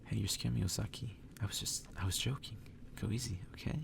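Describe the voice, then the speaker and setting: A man reading a story aloud in a hushed, breathy ASMR whisper. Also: steady tone, fast talker, noisy background. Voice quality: breathy whisper, gravelly low voice. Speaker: ASMR reader.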